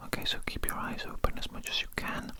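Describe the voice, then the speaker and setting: Whispering man as an ASMR doctor. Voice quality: breathy unvoiced whisper. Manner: slow, deliberate, repetitive instructions. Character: creepy, politely formal male evil doctor. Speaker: ASMR performer playing a doctor. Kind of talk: whispered ASMR medical exam roleplay.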